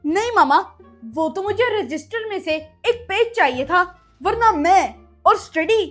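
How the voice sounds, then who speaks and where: A mischievous schoolboy son, acted by a woman in a family comedy skit. The voice is extremely high, cheeky and bossy, with faint music behind it. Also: animated, a little slow, fairly bright tone, exaggerated voice. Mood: defensive. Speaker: actor in a comic skit. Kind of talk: comic family skit acting.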